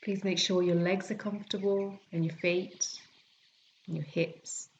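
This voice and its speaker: A woman teaching yoga nidra, guiding a relaxation meditation in a gentle, soothing, unhurried way with long pauses. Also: lowish voice, highs cut off, slight background noise. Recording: birdsong and forest sounds behind voice. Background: calming forest nature sounds with birds.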